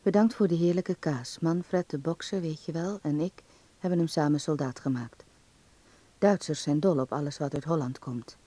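An actor playing a young acting student calmly voicing a letter to his mother in a radio drama, measured and confiding. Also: fairly high pitch, natural voice.

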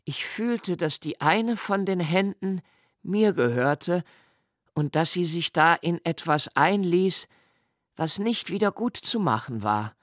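Haunted introspective man, slightly high voice, measured precise phrasing, literary radio drama, dry studio sound. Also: expressive, fairly bright tone, no room sound, exaggerated voice. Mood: fearful, hushed. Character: introspective haunted man recalling a frightening childhood experience. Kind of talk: literary first-person radio play narration.

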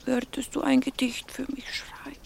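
An actress playing a gentle, earnest young female biologist with a Slovak accent, speaking softly and coaxingly in a radio drama. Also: high-pitched, flat delivery, normal speed, gravelly. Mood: encouraging, earnest. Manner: soft, coaxing, breaks into whispers. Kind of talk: naturalistic radio drama dialogue.

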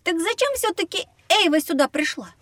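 A woman's voice: very high-pitched, fairly quick, dry recording, heavily acted. An actress voicing a curious, slightly cocky young boy in a scripted educational audio drama for children. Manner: expressive, inquisitive, a little cocky. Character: curious, cheeky young boy on a learning adventure.

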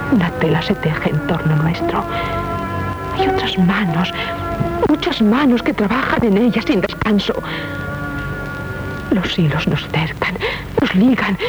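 A woman's voice: on the low side, lightly acted. An actress playing an anguished young noblewoman torn between love and vengeance in a 1970s radio play, with a Castilian accent and an impassioned, solemn delivery. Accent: Castilian Spanish. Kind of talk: 1970s Spanish radio theatre acting. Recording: dull 1970s radio broadcast recording. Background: prominent music.

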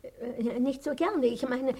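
An actress playing a meek, hesitant old lady in a German radio play, speaking in a halting, timid way. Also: high voice, dark tone, breathy edge, full character voice. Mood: hesitant, pleading. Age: older. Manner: halting, timid, repeating herself. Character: meek, hesitant old lady clinging to her little house.

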